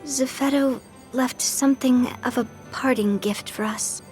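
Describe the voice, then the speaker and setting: An actress playing a calm, quietly knowing young woman in a video game cutscene, understated and patient. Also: sits high, measured pace, faint music behind.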